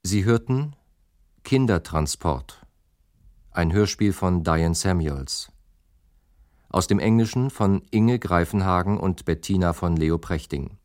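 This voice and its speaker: A man's voice: low-pitched, lively intonation, slow, a little mellow, no echo, clean studio sound. A man reading scripted closing credits as a radio announcer.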